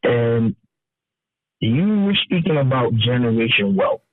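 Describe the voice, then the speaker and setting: A man in his twenties calling in to a live show, speaking hesitantly with a halting start. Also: medium pitch, big pitch swings, no echo. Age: 20s. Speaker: caller. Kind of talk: call-in question. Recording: tinny phone line, dry.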